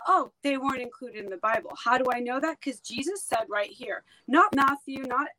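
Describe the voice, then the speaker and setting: A woman with a slightly raised pitch talks in a blunt, emphatic way. Hebrew was her first language. She speaks plainly and forcefully in a casual podcast conversation. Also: animated, a little fast, low-quality recording. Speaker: podcast guest.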